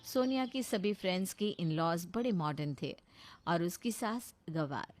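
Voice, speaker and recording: A woman's voice: fairly low pitch, animated, a little slow, no echo. A woman giving a scripted narration of a moral story.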